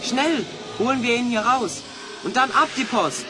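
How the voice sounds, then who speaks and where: A man's voice: very high-pitched, expressive, measured pace, background noise. A man playing a bold, resourceful adventurer hero in a German children's audio drama, eagerly explaining his plans. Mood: urgent, excited.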